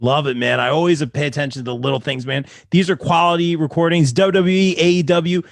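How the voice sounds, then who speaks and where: A man's voice: on the high side, fairly even intonation, brisk, gravelly, no room sound. A male host in a casual interview, enthusiastic and hyped, calling his guest "man".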